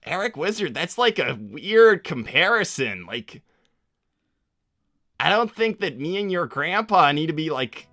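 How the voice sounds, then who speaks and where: A man voicing a cocky, easily exasperated teenage cartoon hedgehog, chatting off the cuff on a livestream in a casual, halting way that repeats itself. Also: on the high side, very animated, sharp tone, no echo, clean studio sound, exaggerated voice. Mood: awkward, bemused. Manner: casual, halting slacker drawl full of repetition. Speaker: livestream host answering viewer questions in character. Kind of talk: improvised in-character livestream chat.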